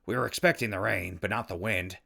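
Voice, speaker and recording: A man playing a wry, weary, good-hearted and easily exasperated wasteland survivor in an audio drama, with a husky, gravelly voice. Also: fairly low pitch, a little fast, pushed and bright, full character voice. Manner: sarcastic, colloquial, exasperated. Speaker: protagonist and narrator. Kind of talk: post-apocalyptic audio drama acting.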